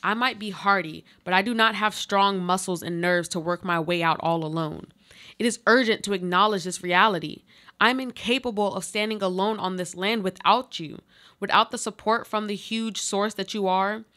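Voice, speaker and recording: A woman's voice: mid-range voice, normal speed, pushed and bright, natural voice. A woman playing a desperate trapped woman, pleading and reproachful in a dramatic radio play monologue.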